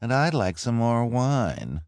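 A man's voice: medium pitch, big pitch swings, slow, a little mellow, no room sound, pitched above the performer's own voice. A man voicing a callous, pale-faced henchwoman.

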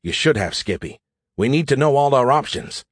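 A man's voice: mid-range voice, animated, moderate pace, breathy edge, dry recording, studio quality. A man voicing a resolute, wry male starship commander in audiobook dialogue, plain-spoken. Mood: grim, firm.

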